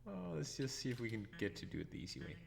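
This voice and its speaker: A man's voice: medium pitch, big pitch swings, quick pace, vocal fry, background noise. A Danish man with a Danish accent, hosting a retro gaming channel, giving live commentary as he plays and thinks aloud. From Denmark. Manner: thinks aloud, chuckles at his own deaths.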